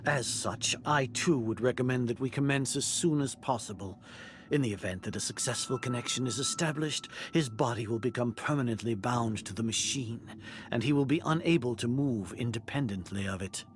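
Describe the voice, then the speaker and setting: A composed male scholar from a video game, played by a man. His medium-pitched voice is formal and clinical. He speaks with detached precision. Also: moderate pace, a little hiss or hum, close to an everyday voice.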